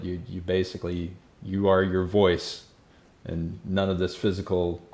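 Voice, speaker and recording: A man with a low voice hosts a casual talk show over a call. He talks in loose, chatty bursts and often restarts his phrases. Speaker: podcast host.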